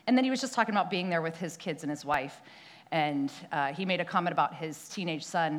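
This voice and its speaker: A young woman preaching a church sermon in a conversational way, often asking "right?", with a prayer and a scripture reading. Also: lowish voice. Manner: conversational, frequent tag "right?", some restarts.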